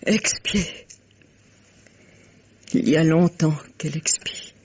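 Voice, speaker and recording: A dignified, guilt-stricken lady in an old French radio mystery, on a muffled, lo-fi recording. The voice is highish and husky. The delivery is formal, restrained and tragic, with a resigned air. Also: slow pace. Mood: anguished.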